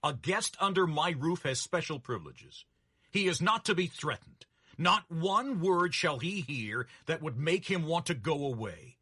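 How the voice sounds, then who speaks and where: A proud old innkeeper in a dramatic story reading. His husky, forceful voice sits fairly high and swings widely, thundering out each emphatic phrase. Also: animated, measured pace, dry recording, clean studio sound, heavily acted. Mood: thundering, indignant. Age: older. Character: forceful, proud old hotel keeper defending his guest.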